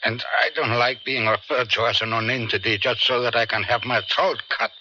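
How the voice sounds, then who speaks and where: An actor playing a sardonic, money-minded traveling companion, complaining sarcastically in a 1970s radio drama. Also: male voice, mid-range voice, fairly even intonation, a little fast, pushed and bright. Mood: indignant. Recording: muffled, faintly noisy 1970s radio broadcast.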